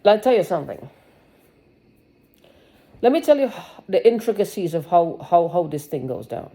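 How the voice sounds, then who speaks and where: A woman's voice: fairly low pitch, very animated, normal speed, mellow. A woman giving a rambling personal video monologue, agitated and sarcastic, swearing and restarting her sentences. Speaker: vlogger.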